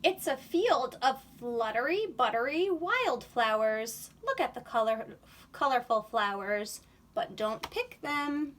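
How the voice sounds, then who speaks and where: A woman reading a picture book aloud to young children in an animated, sing-song, expressive way. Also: on the high side, slow, a little hiss or hum.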